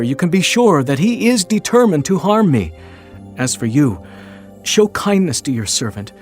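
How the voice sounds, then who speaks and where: A man's voice: fairly high pitch, darkish tone, lightly acted. An actor playing an anxious young male fugitive, urgent and pleading, in dramatized scripture audio.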